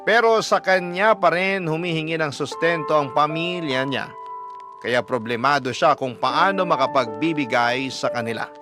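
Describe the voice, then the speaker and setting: Man narrating over loud music. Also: a little high, normal speed. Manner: confessional, addressing the host. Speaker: letter narrator. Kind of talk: scripted narration.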